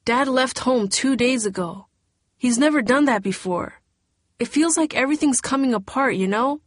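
A woman's voice: medium pitch, animated, unhurried, dry recording, studio quality. A woman narrator voicing a worried, emotional teenage girl. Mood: distraught. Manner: emotional, strained.

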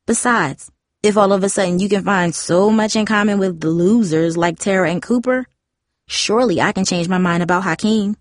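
A woman's voice: medium pitch, moderate pace, no room sound, clean studio sound, natural voice. A woman voicing a catty, mocking teenage girl in an audiobook, with a snide, sing-song manner.

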